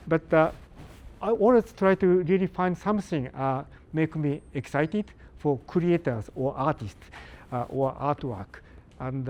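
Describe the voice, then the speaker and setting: An older man giving a live lecture in halting, non-native English, pausing often and putting questions to the audience. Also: a little high, darkish tone. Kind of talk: live academic talk to an audience.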